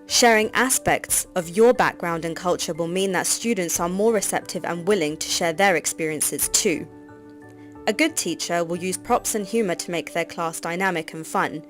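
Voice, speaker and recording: A woman giving scripted narration for a teacher training course, reading in an even, measured way. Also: on the low side. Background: background music.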